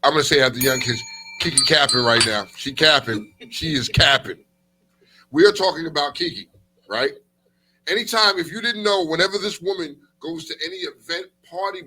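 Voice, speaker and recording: Guy co-hosting a talk show, forceful husky voice, cussing and teasing, real slangy. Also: a little high, lively intonation, brisk, rough recording. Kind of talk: casual uncensored banter.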